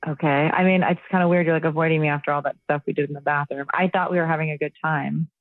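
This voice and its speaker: Female caller, lowish voice, awkward and hesitant, dry tinny phone connection. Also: steady tone, racing, no room sound. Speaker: radio show caller. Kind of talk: casual phone conversation. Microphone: telephone.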